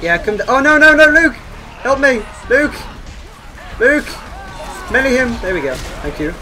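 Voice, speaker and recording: An excitable young man giving casual commentary while playing a co-op video game with friends. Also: extremely high pitch, a little slow, low-quality recording. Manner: excitable, exclaiming. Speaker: gaming video host. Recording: game sound and music behind voice. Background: video game sound and music.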